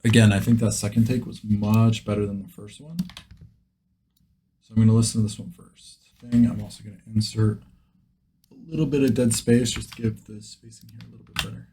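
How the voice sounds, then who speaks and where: A man's voice: fairly low pitch, steady tone, brisk, warm tone, slight background noise. A man hosting a vlog in a casual, conversational style. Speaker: video host, beginner voice actor.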